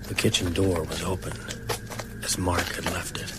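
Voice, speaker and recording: An actor playing a haunted but determined novelist turned vampire hunter in a radio drama. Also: male voice, low-pitched, little pitch movement, moderate pace, loud music behind the voice, lightly acted. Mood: somber, reflective. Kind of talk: radio drama acting with first-person narration.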